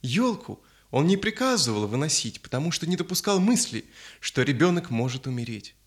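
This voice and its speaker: Мужчина-сказочник, очень живая интонация. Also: male voice, a little high, brisk. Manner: expressive, dramatic storytelling. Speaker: storyteller. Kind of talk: scripted children's audio story narration. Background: background music.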